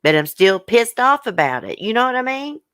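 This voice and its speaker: A woman's voice: on the high side, big pitch swings, normal speed, a bit bright, smooth, no echo, clean studio sound. A woman hosting a livestream, upset and hurt, bluntly venting and reading viewer comments aloud in casual, swearing speech. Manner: emotional, blunt, colloquial, swears. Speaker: YouTube channel host.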